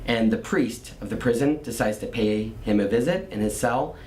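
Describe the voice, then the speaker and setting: A man presents a screenplay from a theater stage. His voice sits a bit low, in an echoey space with faint background noise. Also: moderate pace, darkish tone. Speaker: screenwriter presenting their script. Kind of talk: spoken introduction of a script and scene.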